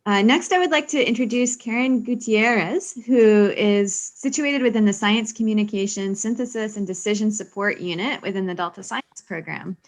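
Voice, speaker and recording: A woman's voice: medium pitch, normal speed, hardly any pauses. Woman introducing new staff at a meeting. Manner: polite, welcoming. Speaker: science program lead at a meeting.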